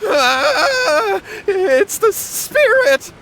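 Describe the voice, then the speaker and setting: A man's voice: very high voice, big pitch swings, very slow, sharp tone, a little hiss or hum, full character voice. A man playing a cowardly, panicky young rascal in a video game, stammering and whimpering. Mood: terrified. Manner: stammering, whimpering, dragged-out words. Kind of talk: scripted video game voice acting.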